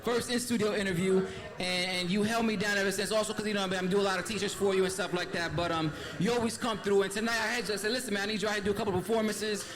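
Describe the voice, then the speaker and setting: A man's voice: high voice, steady tone, racing, crowd noise. A man hosting a live event interview, upbeat and casual, ending many phrases with "man" and "right". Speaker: host.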